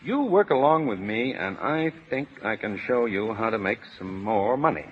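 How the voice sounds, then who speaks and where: An actor playing a smug, bullying factory boss, self-important and slangy but cowardly underneath, in 1930s radio drama. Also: male voice, fairly low pitch, expressive, moderate pace, slight background noise, lightly acted. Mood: scheming. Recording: muffled 1930s radio broadcast, reverberant hall.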